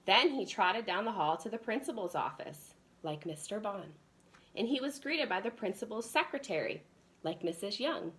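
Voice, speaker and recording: A woman's voice: medium pitch, lively intonation, normal speed, fairly bright tone, a little hiss or hum, poor audio. A woman reading a children's storybook aloud as a preschool teacher would, expressively addressing young children.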